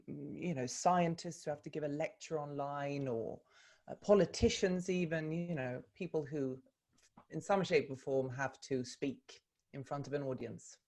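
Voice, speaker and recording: A woman's voice: deep voice, a little mellow. A woman from Sweden, a native Swedish speaker, talks in English as a guest in a video call interview. She speaks thoughtfully, with many ums and restarts. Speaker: actor and voice coach, interview guest. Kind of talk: relaxed conversational interview.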